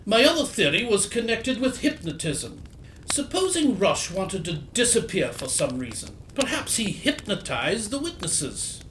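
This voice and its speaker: A man acts a fussy, loyal gentleman sidekick in an audio detective play. His voice sits high and jumps about animatedly, full of proper bluster. It is recorded in an echoey space with faint noise. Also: wildly swooping pitch, a little slow, heavily acted. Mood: earnest, huffy. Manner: blustery, proper, old-fashioned exclamations. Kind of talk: audio theatre detective drama. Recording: roomy echo, faint noise and clicks.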